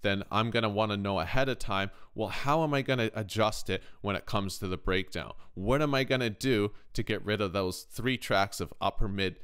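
A man hosting a casual software tutorial, explaining conversationally and often checking in with the listener. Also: fairly low pitch, normal speed, few pauses, smooth. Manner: conversational, checks in with "right?" and "okay?". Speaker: tutorial host, music production YouTuber.

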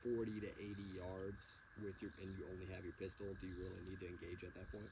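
Male training partner, lowish voice, casual and hesitant, recorded outdoors with clear background noise. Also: flat delivery, fast. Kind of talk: casual chat. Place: outdoors at a wooded range. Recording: noisy outdoor audio.

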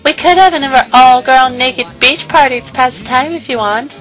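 A flirty pirate underling, played by a woman with a high voice, performed in cartoon parody style over faint music. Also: moderate pace, lightly acted, poor audio. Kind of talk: fan parody cartoon dub.

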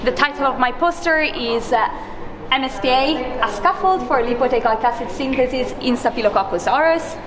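A woman's voice: sits high, moderate pace, poor audio. A young woman presenting her research poster in a friendly way. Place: busy conference poster hall. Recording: crowd chatter behind.

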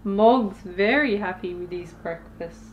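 A woman in her thirties reading a picture book aloud to young children, expressive and deliberate, as a storytime host. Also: medium pitch, highs cut off, a little hiss or hum. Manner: expressive, deliberate, with sound effects and asides to listeners.

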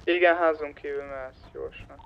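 A man's voice: fairly high pitch, steady tone, gravelly, dull audio, a little hiss or hum. A man explaining things informally in casual chat during online roleplay gameplay. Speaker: gamer.

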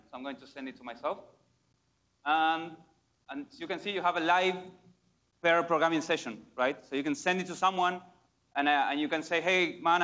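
A man presents a live demo at a meetup, casual and excited, often restarting his phrases. His voice sits a bit high. The recording sounds muffled and lo-fi. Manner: casual, enthusiastic, many fillers and restarts. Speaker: conference speaker. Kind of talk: live tech demo talk.

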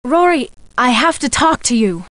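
An actress playing a lovelorn soap opera heroine in a video game, with pleading melodrama. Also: female voice, sits high, very animated, moderate pace, background noise. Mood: urgent. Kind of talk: video game character acting.

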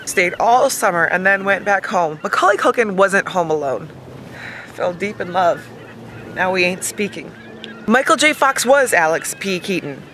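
A woman in her thirties giving a dramatic, mock-emotional reading of song lyrics, with halting, deliberate pauses, recorded outdoors on a handheld camera. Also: mid-range voice, expressive, a bit bright, slight background noise, low-quality recording. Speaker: comedic video host. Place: lying outdoors in a hammock. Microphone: camera held at arm's length.

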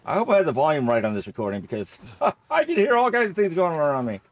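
A man's voice: a little high, sing-song, fast, no room sound. A man giving casual Let's Play commentary on a horror game, rambling and thinking aloud as he plays, often repeating himself. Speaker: gamer narrating a horror game playthrough.

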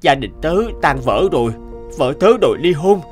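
A man's voice: fairly high pitch, expressive, normal speed, faint music behind, lightly acted. A man playing a distraught, pleading best friend in a cartoon drama.

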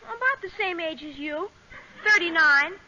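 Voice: very high-pitched, lively intonation, normal speed, bright, forceful tone, full character voice. An actor playing an earnest young scout boy in a 1940s radio comedy, on a muffled, hissy old recording.

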